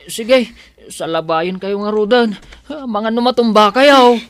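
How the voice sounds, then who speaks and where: Woman voicing naive village handyman, slow pace, halting, kindly, deferential, Ilocano accent, radio comedy drama. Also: mid-range voice, close to an everyday voice. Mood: concerned. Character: simple-minded, kindly, eager village handyman, a grown man.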